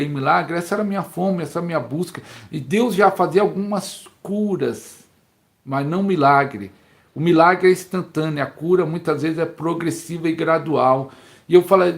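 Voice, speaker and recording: Brazilian pastor, middle-aged man, fervent storytelling sermon, slightly high pitch, recorded at home. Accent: Brazilian Portuguese. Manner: fervent storytelling, repeats phrases for emphasis. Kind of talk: sermon-style teaching. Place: home, neighbor knocking heard.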